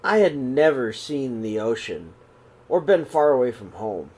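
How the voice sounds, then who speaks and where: A middle-aged man reading a children's picture book aloud in a small room, slow and expressive. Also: medium pitch. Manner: reads slowly and expressively, voices the characters' calls. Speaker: storybook reader. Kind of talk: read-aloud storytelling for children.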